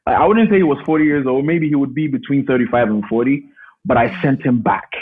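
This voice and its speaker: A man's voice: mid-range voice, fast talker, a little hiss or hum. A man, talking casually and emphatically as an interview guest on a call. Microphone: remote call. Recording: thin phone-like call audio.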